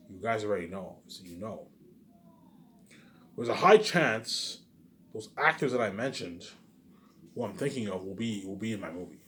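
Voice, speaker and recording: A man with a fairly low voice rambles casually, as if vlogging to his followers. He muses aloud and circles back to repeat his phrases. The amateur recording carries faint background noise. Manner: repetitive, musing aloud.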